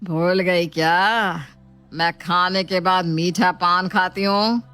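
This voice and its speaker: A woman acts out an imperious old matriarch from a moral tale. She commands and scolds in a bright, forceful voice, pitched down into stern authority, over background music. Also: steady tone, slow pace, clear, resonant tone. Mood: bossy, demanding. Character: imperious old mother-in-law, bossy ghostly matriarch. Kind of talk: acted moral storytelling.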